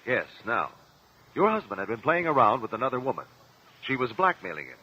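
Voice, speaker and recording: An actor playing a sharp, persistent defense lawyer in a vintage American radio drama, speaking formally and articulately. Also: male voice, medium pitch, sing-song, a little fast, breathy edge, heavily acted. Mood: accusing. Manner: articulate, pressing, courtroom formality. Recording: dull vintage radio broadcast.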